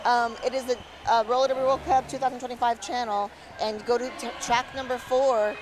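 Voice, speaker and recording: Upbeat higher-voiced woman commentator. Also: level tone, fairly quick, low-quality recording. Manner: upbeat, reads out scores and chat notes. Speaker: color commentator. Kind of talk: live sports commentary.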